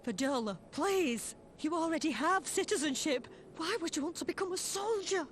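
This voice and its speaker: A fretful, pleading mother in a video game drama. Her voice is high, set against clear background noise. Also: lively intonation, normal speed. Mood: dismayed. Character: anxious, pleading wife and mother. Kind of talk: video game cutscene acting.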